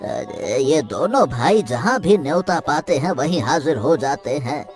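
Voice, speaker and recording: Slow, lively, teasing villager man acting. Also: a little high, soft background music. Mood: mocking. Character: teasing villager at a feast, amused. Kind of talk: Hindi cartoon comic dialogue.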